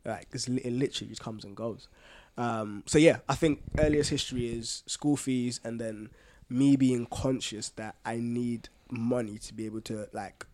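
A man with a medium-pitched voice chats as a podcast co-host. He thinks aloud in informal language, pausing and restarting as he reflects. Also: steady tone, darkish tone. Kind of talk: casual conversational podcast chat.

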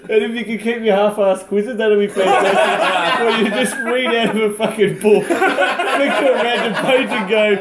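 Nasal aussie bloke, high voice, self-mocking jokes, roomy room, mates cracking up. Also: male voice, steady tone, moderate pace, hardly any pauses, over a noisy crowd. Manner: self-deprecating, wry. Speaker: podcast co-host.

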